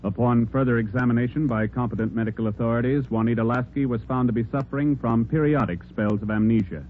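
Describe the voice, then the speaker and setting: A man's voice: medium pitch, fast, narrow, tinny audio. An American man reading formal, official-sounding announcements for a 1940s radio programme. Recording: old radio recording, loud brass music behind.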